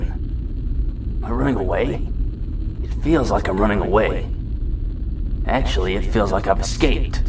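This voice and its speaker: An actor playing a reckless robot fugitive with no memory, rambling and questioning himself in an audio drama. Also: male voice, on the low side, far from the mic, noisy background. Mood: dazed, confused. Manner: rambling, self-questioning monologue. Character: amnesiac robot fugitive, reckless, jumpy and self-mocking.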